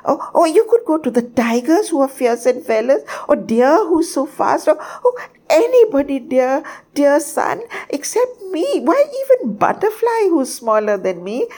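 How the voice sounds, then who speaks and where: A woman voicing a tiny, timid male sparrow with a squeaky chirp, frightened and stammering in a storybook reading. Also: mellow. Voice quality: squeaky, chirruping. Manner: breathless repetition, stammering pleas.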